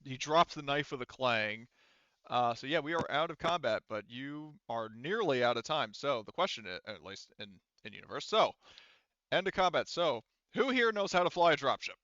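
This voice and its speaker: A man with a clear, smooth voice narrates a tabletop roleplaying game as game master. He is casual and sweary, often halting as he improvises, and the dry recording sounds slightly dull. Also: mid-range voice, expressive, sharp tone, no echo.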